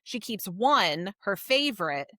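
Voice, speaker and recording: A woman's voice: medium pitch, big pitch swings, slow pace, pushed and bright, no echo, clean studio sound. A woman co-hosting a podcast, recapping a story in an informal, conversational way. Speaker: podcast co-host. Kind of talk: casual book discussion, recapping a story.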